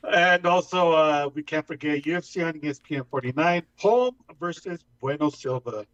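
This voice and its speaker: A man's voice: on the high side, fairly bright tone, muffled recording. A man of Mexican background co-hosting a casual fight podcast, reading out event listings and laughing easily. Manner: laughs often, reads fight card quickly. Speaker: podcast co-host.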